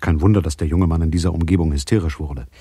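An actor playing a laconic, world-weary private detective in a radio drama, speaking in a dry, sardonic manner. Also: male voice, rumbling low, steady tone, fast, dark tone, slightly rough, exaggerated voice. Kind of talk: radio drama acting and first-person narration.

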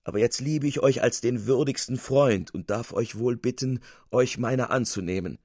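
A man's voice: medium pitch, moderate pace, a little mellow, no echo, clean studio sound, natural voice. A man voicing an effusive, sentimental old uncle in a theatrical, plaintive storybook reading. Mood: humble, affectionate. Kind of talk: dramatic literary reading.

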